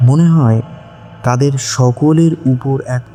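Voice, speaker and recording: A man narrating a dramatic audio story, with measured, suspenseful phrasing. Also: medium pitch, steady tone, dark tone, soft background music.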